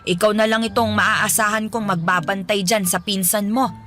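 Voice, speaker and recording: A woman's voice: mid-range voice, fairly even intonation, normal speed, a bit bright, soft background music, lightly acted. A woman acting as a doting but strict old grandmother, fussing and scolding in a radio drama.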